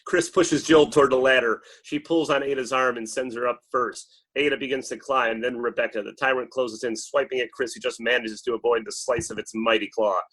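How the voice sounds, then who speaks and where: A man's voice: mid-range voice, fairly even intonation, a little fast, few pauses, a bit bright, poor audio. A man reading stage directions as dramatic scripted narration at a table read.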